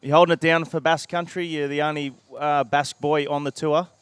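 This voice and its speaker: A man's voice: a little high, level tone, brisk, soft background music. Male trackside interviewer, handheld mic, rambling friendly questions. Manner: chatty, rambling questions, hesitant fillers. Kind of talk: post-heat interview. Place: outdoor beach event interview backdrop.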